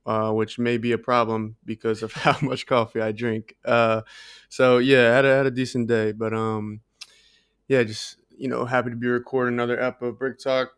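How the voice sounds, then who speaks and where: Smooth, even-toned man in casual chat. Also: mid-range voice, normal speed, no room sound, clean studio sound. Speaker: podcast co-host.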